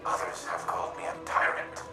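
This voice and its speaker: An actor playing a grave, ancient military AI in a video game, speaking in a measured, formal and solemn way. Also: male voice, natural voice. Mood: grave, remorseful. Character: grave, remorseful ancient male war AI in a machine body. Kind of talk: scripted video game dialogue. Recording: game music behind, roomy echo.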